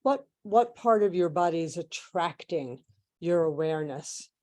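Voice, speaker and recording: A woman leading a guided meditation in measured, hushed phrases with long pauses, like a spiritual transmission. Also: fairly low pitch, lively intonation.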